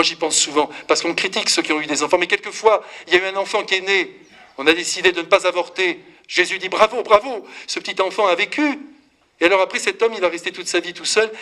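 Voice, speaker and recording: Un prêtre d'une cinquantaine d'années prêche un sermon avec vivacité, taquine l'assemblée et s'adresse directement à elle. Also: male voice, fairly high pitch. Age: 50s. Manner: lively, teasing, talks straight to the congregation. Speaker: priest.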